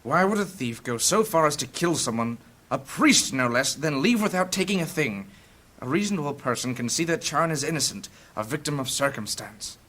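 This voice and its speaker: A man's voice: on the high side, animated, normal speed. A man voicing a plain-spoken, loyal and earnest adventurer in a fantasy audio drama. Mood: indignant, defiant. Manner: forceful, earnest.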